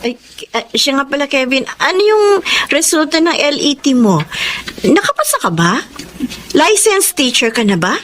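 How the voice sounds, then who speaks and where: A woman's voice: sits high, big pitch swings, moderate pace, a bit bright, slight background noise. A woman playing an apologetic, chatty Filipino mother with a coaxing manner in a radio drama. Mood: relieved, chatty.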